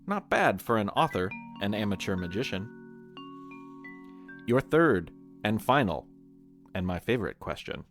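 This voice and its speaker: A man's voice: on the low side, big pitch swings, measured pace, pauses often, fairly bright tone, soft background music. An American man reading a playful scripted quiz as host, talking straight to the listeners. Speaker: podcast host and quizmaster.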